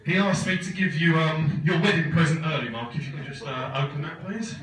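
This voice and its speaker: A man's voice: on the high side, fairly quick, fairly bright tone. A man in his thirties giving a teasing best man speech at a wedding, with a dry joking delivery. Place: large wooden barn wedding hall. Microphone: phone camera far back in crowd. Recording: echoey hall from far off, laughing crowd.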